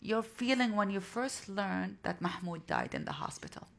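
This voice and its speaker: A woman's voice: medium pitch, huge pitch swings, a little fast, vocal fry, fairly bright tone. A woman whose native language is Arabic, moderating a panel and asking earnest, probing questions. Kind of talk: panel interview.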